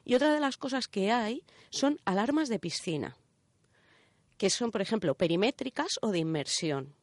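Female radio presenter, Spain accent, mid-pitched, colloquial explainer who keeps checking in, dry studio-style sound. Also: lively intonation, normal speed, no echo. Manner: colloquial, checks in with listeners using short tag questions. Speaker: radio show presenter of a science segment. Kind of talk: casual explanatory radio chat.

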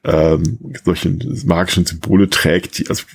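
A man with a very low, creaky, rough-edged voice talks as an expert guest on a podcast. He explains conversationally, halting often as he searches for the next word. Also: level tone. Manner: halting, searching for words, hesitant phrasing.

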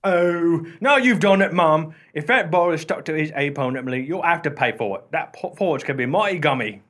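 A man's voice: fairly high pitch, lively intonation, a little fast, a bit bright, a little hiss or hum. A man acting a cheeky, good-natured working-class meddler in a comic radio play, chatty and wry in colloquial speech. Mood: teasing.

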